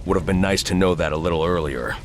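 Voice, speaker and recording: A man playing a grim, brooding vigilante hero in a video game, gravelly, terse and deadpan with quiet menace. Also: low-pitched, quick pace, a little hiss or hum, lightly acted. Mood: curt, dry.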